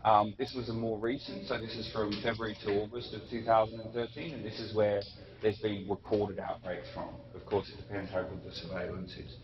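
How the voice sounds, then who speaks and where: A man giving an academic lecture, unhurried, with frequent ums and self-corrections. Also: fairly low pitch, flat delivery. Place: lecture hall. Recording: muffled echoey hall recording from a distance.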